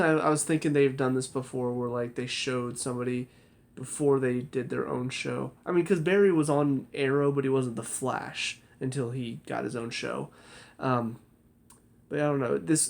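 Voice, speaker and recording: Male reviewer, medium pitch, chatty and critical, trails and restarts lines. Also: creaky, a little mellow. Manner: critical, rambling, restarts sentences. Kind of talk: casual spoken review.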